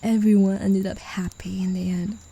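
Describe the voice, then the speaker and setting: A possessive demon, played by a woman, toys with her claimed pet in a soft, condescending ASMR roleplay. The voice is very dark and gravelly, with a husky edge. She teases and drops into low whispers. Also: level tone, moderate pace. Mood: taunting.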